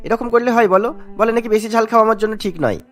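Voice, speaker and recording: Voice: medium pitch, very fast, a bit bright, lightly acted. A performer voicing a charming, food-loving young man in a cartoon, breezy and insistent.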